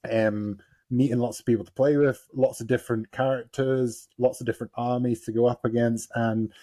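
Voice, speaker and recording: A man hosting a casual podcast chat in a relaxed way, with chuckling asides. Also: mid-range voice, normal speed. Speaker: podcast host.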